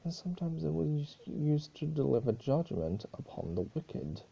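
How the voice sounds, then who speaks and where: A man's voice: a little high, sing-song, moderate pace, vocal fry, mellow, slightly rough, lo-fi sound. A man narrating a story aloud as a dramatic reading.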